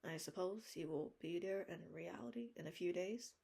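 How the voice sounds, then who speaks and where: Woman reader doing a young man, quiet, earnest, low-voiced, gravelly, husky, storybook reading. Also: normal speed. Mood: calm. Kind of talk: audiobook character voice.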